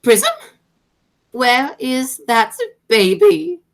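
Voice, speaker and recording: A woman's voice: high-pitched, big pitch swings, drawn-out words, gravelly, full character voice. A woman playing an imperious, swooping aristocratic lady. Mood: outraged, demanding. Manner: haughty, commanding, formal. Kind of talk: radio play acting.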